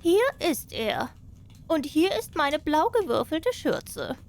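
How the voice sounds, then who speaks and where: A sly old witch from a storybook, as a woman narrator puts her on. She pitches her voice high and coaxes with deliberate, measured instructions. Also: big pitch swings, full character voice. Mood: insistent.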